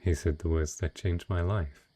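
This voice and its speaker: A man playing a wealthy, cunning dragon in humanoid disguise, speaking in a refined, eloquent and husky way in a romantic fantasy audio roleplay. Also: deep voice, fairly even intonation, mellow. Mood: wistful, suave. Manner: refined, eloquent, unhurried storytelling.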